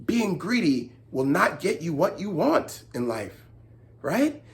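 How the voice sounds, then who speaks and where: Animated children's storytime host, wide-swinging pitch. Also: takes it slowly, vocal fry. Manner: animated, instructive, often checking in with "right?". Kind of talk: expressive storybook narration and chat to children.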